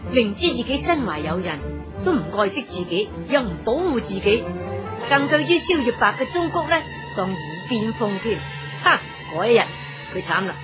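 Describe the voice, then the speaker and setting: Animated Cantonese woman storyteller. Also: high voice. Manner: dramatic, colloquial, exclamatory. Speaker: storyteller narrator. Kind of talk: lively scripted story narration.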